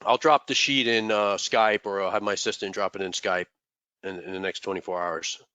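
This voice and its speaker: A man's voice: on the low side, steady tone, fairly quick, sharp tone, clean tone, no room sound, muffled recording. A man teaching trading in a loose live session, congested and rambling, often repeating words. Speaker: trading mentor. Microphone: desk microphone.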